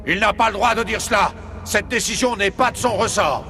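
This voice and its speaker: An actor playing an indignant human ambassador in a French video game, forceful and official. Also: male voice, high voice, fairly quick, gravelly, over music. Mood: outraged.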